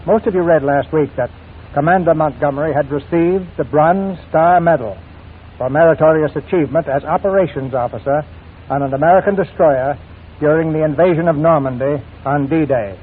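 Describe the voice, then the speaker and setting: A man hosting and narrating a 1940s radio theater show in a formal, measured, showman-like scripted style. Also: on the high side, a little hiss or hum. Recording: tinny 1940s radio recording.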